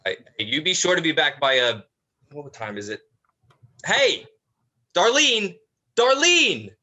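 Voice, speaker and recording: An actor playing a put-upon, fussy eldest brother who mutters sardonically in a dramatic script reading. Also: male voice, on the high side, big pitch swings, takes it slowly, sharp tone, exaggerated voice. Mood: harried, dry.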